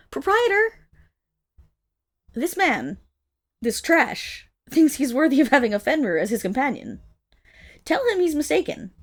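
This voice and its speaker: A woman playing a haughty, indignant young wolf monstergirl of noble birth in a dramatic ASMR roleplay, speaking disdainfully straight to the listener. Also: sits high. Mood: outraged.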